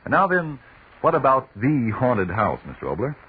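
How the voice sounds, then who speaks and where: A male radio announcer delivering scripted 1940s sponsor pitches and programme notes in a polished, persuasive, emphatic manner. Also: huge pitch swings, normal speed, breathy edge. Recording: muffled, lo-fi 1943 radio broadcast.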